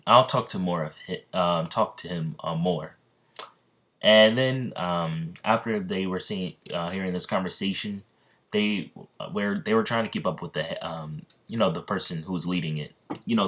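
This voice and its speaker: A young man with a very low voice tells a made-up story off the cuff. He sounds sleepy and rambles, often restarting his sentences. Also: a bit bright. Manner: rambling, many ums and restarts. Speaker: YouTuber narrating a fan story. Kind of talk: casual improvised storytelling.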